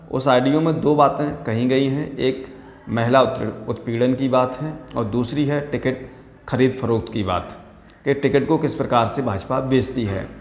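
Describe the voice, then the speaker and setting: A man presenting political news commentary in a deliberate, halting manner. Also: medium pitch. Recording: echoey room with long reverb.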